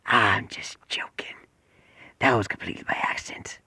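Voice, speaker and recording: A man voicing a sinister creature that lives in a boy's head, in a slow, menacing, breathy whisper with dark humor. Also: fairly low pitch, fairly even intonation, dry recording, pitched below the performer's own voice, heavily acted. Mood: darkly joking. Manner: slow, menacing, drawn-out delivery. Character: sinister male creature voice inside a boy's head, darkly joking.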